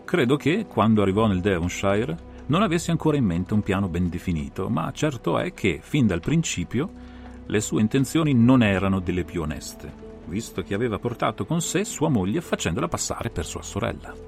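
A man performing an analytical gentleman detective recounting a solved case in a dramatized audiobook, with measured, theatrical phrasing. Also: lowish voice, sing-song, creaky, faint music behind. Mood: grave, analytical. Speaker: audiobook reader and interpreter.

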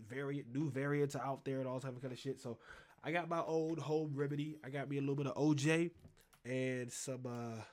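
A man's voice: mid-range voice, fairly even intonation, a little fast, a little mellow. Casual male sports host, congested, rambling and profane.

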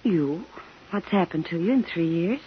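An actress playing an anguished, defensive young widowed mother in a 1950s radio drama, with a husky voice. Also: lowish voice, animated, moderate pace, slight background noise, lightly acted. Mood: hurt, reproachful. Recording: dull 1950s radio recording.

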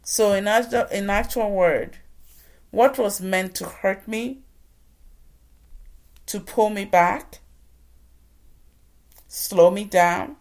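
A woman's voice: mid-range voice, takes it slowly, long pauses, darkish tone. A woman from Ghana giving an inspirational talk, telling her own story in an earnest, preacher-like way. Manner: earnest, preacher-like addresses to the audience. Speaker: motivational speaker.

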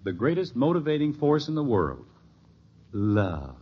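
A man's voice: medium pitch, wildly swooping pitch, a little slow. A middle-aged man playing a genial, wry family father in a 1950s radio sitcom, dry and teasing. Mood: wryly amused. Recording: muffled, hissy old radio recording.

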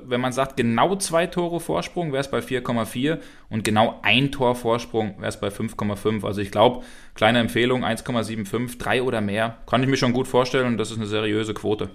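Chatty Hessian male co-host, quick delivery with a mid-range voice. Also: medium pitch. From Hesse. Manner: chatty, quick, many fillers. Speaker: podcast co-host. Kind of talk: casual football betting chat.

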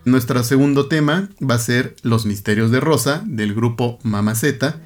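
A man co-hosting a podcast, presenting songs and explaining their background in a measured, informative way. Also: medium pitch, soft background music. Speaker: podcast co-host. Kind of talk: radio-style podcast hosting.

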